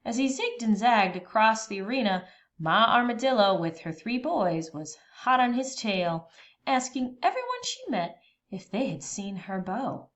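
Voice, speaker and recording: A woman reading a children's storybook aloud expressively, with dramatic, deliberate pauses between phrases. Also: fairly high pitch, big pitch swings, fairly bright tone.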